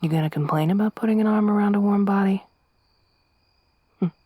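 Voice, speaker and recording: A woman voicing a tough young woman survivor around thirty in an ASMR roleplay, soft-spoken with dry humor. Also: medium pitch, fairly quick, no room sound, lightly acted. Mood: hushed. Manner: hushed whispering when hiding.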